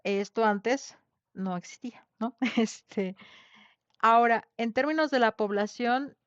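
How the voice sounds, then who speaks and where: A woman's voice: a little high, slow pace, dry recording. Female Mexican lecturer, conversational, checks in with students often, dry and dull lo-fi online sound. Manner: conversational, frequent tag questions. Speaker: teacher leading an online class. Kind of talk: informal lecture with questions to students. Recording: dull lo-fi online class audio.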